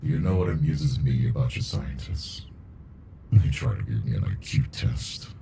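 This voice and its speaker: A man voicing an ancient, weary alien monster in captivity for an ASMR roleplay, speaking in a gravelly, brooding murmur, condescending yet intimate. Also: animated, normal speed, warm tone, slight background noise, exaggerated voice. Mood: fascinated, amused. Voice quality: very deep, gravelly, breathy murmur. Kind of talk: ASMR character roleplay monologue.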